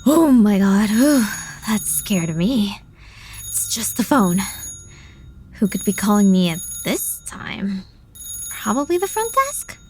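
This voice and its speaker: A woman's voice: medium pitch, sing-song, slow, slight background noise. A woman playing a worried, reflective sister thinking aloud in an audio drama monologue, musing and dramatic. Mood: startled, relieved.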